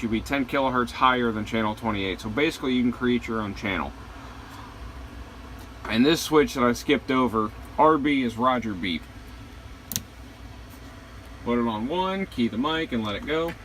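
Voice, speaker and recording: A man giving a casual hands-on gear walkthrough, plain-spoken and halting, pausing between short phrases. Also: medium pitch, low-quality recording. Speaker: truck driver showing his CB radio setup. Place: inside a truck cab. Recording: steady vehicle and air-conditioning noise behind.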